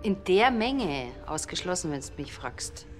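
An actress playing a brisk, sarcastic forensic pathologist in a TV crime comedy, matter-of-fact. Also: female voice, lowish voice, big pitch swings, soft background music. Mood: matter-of-fact, needling. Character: sharp-tongued, brisk female forensic pathologist. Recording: roomy, echoing set sound.

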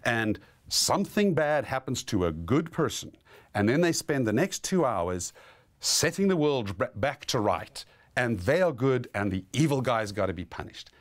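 A man's voice: mid-range voice, very animated, normal speed. A middle-aged man, a film director, speaking in a documentary interview. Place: indoor interview setup.

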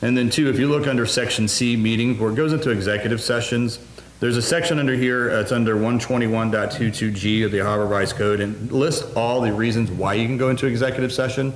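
A man giving a quick, businesslike report to a city council, with many fillers. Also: mid-range voice, steady tone. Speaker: city administrator. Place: wood-paneled council room, indoors. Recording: roomy meeting hall with faint noise.

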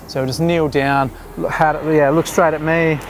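A man in his thirties directing a beach photo shoot, relaxed and chatty, with many restarts and fillers, recorded outdoors at the shore. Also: a little high, a little slow, noisy background. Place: open sandy beach at sunset, outdoors. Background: music.

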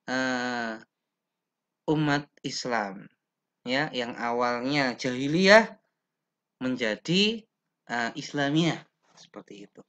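A young teacher from Indonesia reads a lesson aloud from slides over a webcam. His voice is bright and a little high for a man. He speaks slowly and deliberately, with pauses and the odd filler. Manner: slow, measured reading with pauses and fillers. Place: indoor room.

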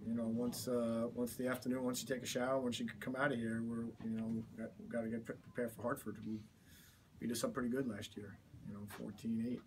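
A man in his fifties, a head coach giving a postgame interview in a small office, talking haltingly with many fillers and restarts. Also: fairly low pitch. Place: small cluttered office, indoors. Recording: distant mic with background noise.

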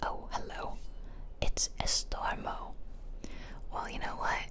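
A woman's voice: takes it slowly, a bit bright. A woman giving whispered ASMR game commentary with playful, casual asides, recorded close to the microphone. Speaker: gaming streamer. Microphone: close ASMR microphone, ear to ear.

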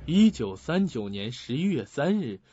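An actor narrating a suspense mystery radio play as a dramatic storyteller. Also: male voice, medium pitch, sing-song, moderate pace, very noisy, natural voice. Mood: suspenseful. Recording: slightly muffled audio with music.

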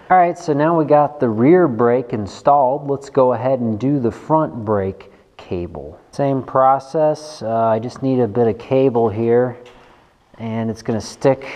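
A man's voice: medium pitch, dark tone. A man giving a casual hands-on tutorial, informally thinking aloud as he works in a garage. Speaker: bike mechanic host. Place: indoors in a large wooden workshop garage. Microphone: action camera worn on the body. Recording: echoey wooden garage with noticeable noise.

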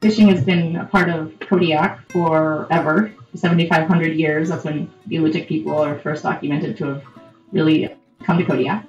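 A woman's voice: low voice, steady tone, moderate pace, darkish tone. A young woman, a writer and historian, explaining history in an interview. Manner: explanatory, informative. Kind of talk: interview answers. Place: indoors, in a cluttered workroom. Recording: roomy and dull from a distant mic, with music.

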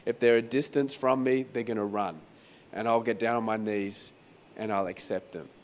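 A man preaching a sermon as pastor in a croaky, hoarse voice, talking conversationally and repeating phrases for emphasis. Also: lowish voice, fairly even intonation, normal speed. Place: venue hall during a church service.

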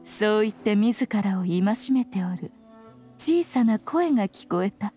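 A woman's voice: mid-range voice, expressive, unhurried, warm tone, soft background music, lightly acted. A woman voicing a playful, commanding ancient fox spirit lady in an anime game, speaking in an archaic, self-assured, teasing way. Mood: composed, reflective. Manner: archaic, lordly old-fashioned speech, teasing and self-assured. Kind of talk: Japanese anime game voice acting.

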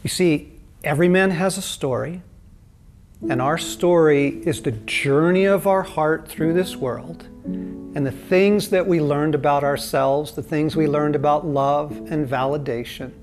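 Older male teacher, higher voice, slow and earnest, faint music bed. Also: a little mellow. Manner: slow, earnest, conversational with rhetorical "right?". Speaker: teacher of a video course. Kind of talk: teaching talk to camera. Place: dim studio set with lamp.

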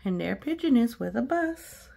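A woman's voice: a little high, very animated, moderate pace, creaky, mellow. A woman reading a storybook aloud to children, adding reassuring asides and explaining the pictures. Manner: reassuring, talks directly to child listeners. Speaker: storybook reader.

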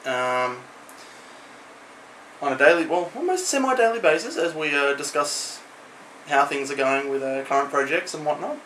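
A young adult man talking informally to a camera in a home room, explaining things in a halting, casual showcase style. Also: on the high side, lively intonation, bright, forceful tone, a little hiss or hum, rough recording. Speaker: tech vlogger. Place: small home room, indoors.

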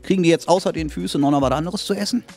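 A man's voice: a little high, very fast, darkish tone, faint music behind. A middle-aged man hosting a casual talk show in a spa studio, chatty and joking, speaking into a handheld mic. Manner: jokey, chatty.

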